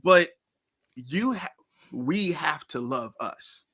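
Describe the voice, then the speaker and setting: Earnest bright-voiced New man. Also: fairly high pitch, expressive, slow pace, slightly rough. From Queens, New York. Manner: earnest, emphatic, repeats key phrases. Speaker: podcast guest, musician and historian. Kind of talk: casual interview chat.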